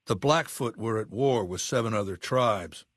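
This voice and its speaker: Imperious warlord dictator, dry close recording. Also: male voice, fairly low pitch, normal speed, a bit bright, dry recording. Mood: scornful, reminiscing. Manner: commanding, contemptuous, articulate. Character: imperious, ruthless, intellectual male warlord dictator. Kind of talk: video game character acting.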